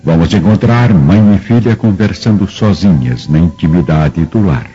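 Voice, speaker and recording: A man's voice: sits low, normal speed. A man giving dramatic scripted narration as the narrator of a radio drama. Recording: dull radio drama recording with music.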